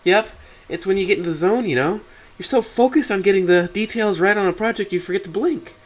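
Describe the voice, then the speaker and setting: Man voicing an evasive teenage girl, pitched up. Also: a little fast. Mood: evasive, casual. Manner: hesitant, casual. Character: evasive teenage artist daughter, nervously brushing off worry.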